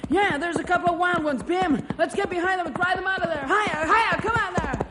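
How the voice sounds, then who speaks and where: An actor voicing a young ranch boy in an old radio drama, with a high boyish voice. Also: fast. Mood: urging. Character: young boy Paul, hurt ranch kid. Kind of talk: 1950s radio drama acting. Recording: old radio recording with faint hiss.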